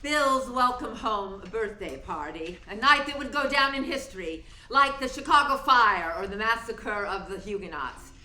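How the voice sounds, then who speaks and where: Woman playing a bright-voiced, sardonic stage diva. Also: on the high side, lively intonation, moderate pace, hardly any pauses, faint music behind. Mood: wry narration and sarcasm. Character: proud, jealous, sardonic middle-aged stage actress. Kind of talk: radio play acting. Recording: echoey room with faint noise.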